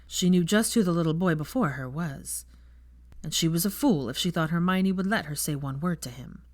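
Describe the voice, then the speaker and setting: A woman's voice: on the low side, moderate pace, a little mellow, no echo. A woman narrating a story from a script as an audiobook-style reader of fan fiction.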